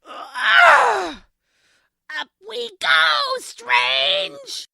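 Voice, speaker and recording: A woman playing an ancient, menacing, haughty demon hag in an audio drama, with a creaky, shrieking voice and grandiose threats. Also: extremely high pitch, sing-song, bright, forceful tone, no room sound, full character voice. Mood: defiant, menacing. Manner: grandiose, slow threatening speech.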